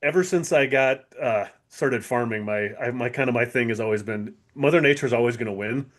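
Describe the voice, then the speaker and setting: A man's voice: mid-range voice, brisk. A man with an American accent chatting casually as a podcast co-host.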